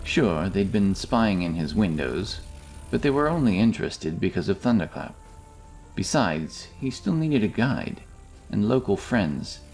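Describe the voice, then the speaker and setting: A man narrating a scripted fantasy story in a measured, dramatic storytelling manner. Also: fairly low pitch, sing-song, darkish tone, soft background music.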